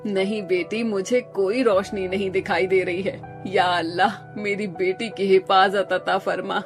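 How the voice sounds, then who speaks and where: A woman's voice: mid-range voice, steady tone, a little slow, lightly acted. A woman voicing a worried, prayerful middle-aged village mother who laments over her family. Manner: lamenting, devout. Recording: music behind the voice.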